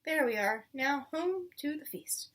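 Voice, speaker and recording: A quick-witted storybook fox takes charge in a high voice, played by a woman reader. He is bold and commanding with his companions. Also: expressive, a bit bright, distant mic. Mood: satisfied.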